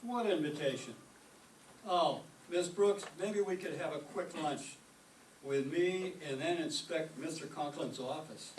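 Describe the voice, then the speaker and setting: A man's voice: on the high side, a little slow, natural voice. A man playing a mild, earnest, oblivious biology teacher in an old-time radio comedy. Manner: polite, earnest. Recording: echoey, distant microphone, faint noise.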